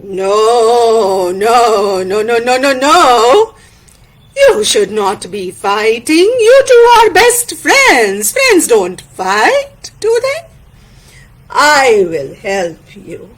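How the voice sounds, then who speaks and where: Woman putting on a slow, stern old village elder. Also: a little high, sing-song, heavily acted. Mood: disappointed. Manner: slow, drawn-out, pondering, authoritative. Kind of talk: oral storytelling character voice.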